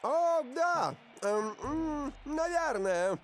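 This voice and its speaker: A man's voice: very high voice, sing-song, slight background noise, exaggerated voice. An actor playing an exuberant, easily amazed older male football commentator in a cartoon. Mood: baffled. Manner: exuberant, drawn-out exclamations.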